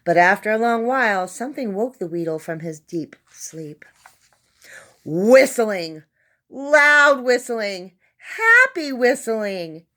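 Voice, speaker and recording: A woman's voice: a little high, big pitch swings, takes it slowly, sharp tone. Woman reading a children's story expressively. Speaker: storybook reader. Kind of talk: expressive read-aloud children's story narration.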